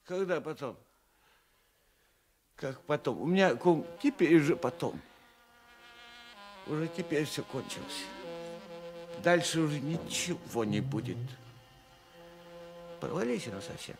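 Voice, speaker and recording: A man's voice: on the high side, animated, a little slow, long pauses, music in the background. A man playing a drunken, weak-willed, despairing peasant husband in a Russian radio drama, stammering and repeating himself emotionally. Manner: stammering, emotional, slurred repetitions.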